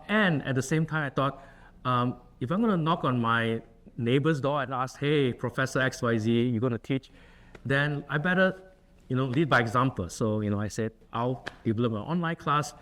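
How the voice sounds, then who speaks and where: A man's voice: medium pitch, fairly bright tone. A middle-aged man from Singapore with a Singaporean accent, an associate dean giving an earnest talk and answering questions in a lecture room. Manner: earnest, with frequent fillers and restarts.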